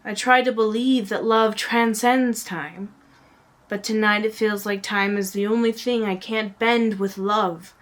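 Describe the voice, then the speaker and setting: Slow, hushed woman reciting confessional poetry. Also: medium pitch, fairly even intonation. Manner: earnest, drawn-out phrasing with pauses, often hushed. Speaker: poet. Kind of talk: dramatic spoken-word poetry reading, confessional monologue.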